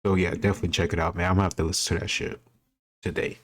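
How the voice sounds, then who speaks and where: A man's voice: sits low, little pitch movement, very fast, soft background music. An American man chatting casually as he gives rambling, opinionated reaction commentary and often corrects himself. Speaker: host reacting to a history quiz video.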